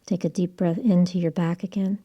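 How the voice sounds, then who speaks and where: A woman leading a guided yoga and relaxation session as the instructor, speaking in a gentle, calm, soothing way with breathy cues. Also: lowish voice, a little fast, highs cut off. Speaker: yoga instructor and channel host.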